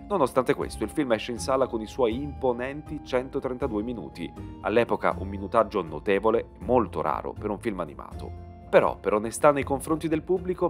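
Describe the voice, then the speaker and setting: A man narrating a scripted video essay with emphatic, dramatic phrasing. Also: medium pitch. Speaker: narrator and channel host. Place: voice-over recording. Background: background music.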